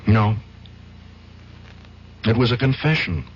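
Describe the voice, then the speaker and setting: An American actor playing a weary, troubled young defense attorney who speaks haltingly, in a 1970s radio drama. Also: male voice, medium pitch, lively intonation. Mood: grim, intent. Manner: halting, with stammered starts. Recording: dull, noisy old radio recording.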